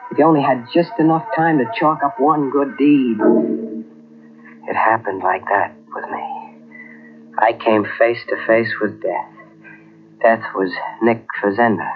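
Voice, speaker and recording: A man's voice: mid-range voice, steady tone, measured pace, long pauses, faint music behind, lightly acted. An actor playing a frightened small-time crook who narrates his own story, nervous and pleading, in 1940s radio drama style. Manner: nervous, pleading, rising to panicked shouts. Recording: muffled old radio transcription with hiss.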